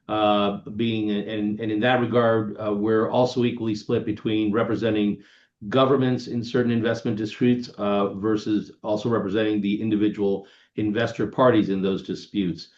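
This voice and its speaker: A man speaking informally as a panelist on an online call, halting and breaking into his own sentences. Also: lowish voice. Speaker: panelist, international disputes lawyer. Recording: dull, echoey online call audio.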